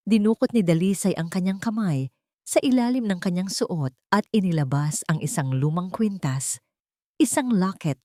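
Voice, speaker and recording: A woman's voice: mid-range voice, mellow, dry recording, clean studio sound. A woman giving a scripted, dramatic narration of an audio love-and-betrayal story.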